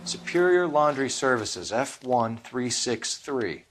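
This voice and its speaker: An actor playing an earnest, determined homicide detective in a video game, with a clipped, serious way of talking. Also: male voice, mid-range voice, big pitch swings, slow, soft background music. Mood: businesslike. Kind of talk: video game cutscene acting.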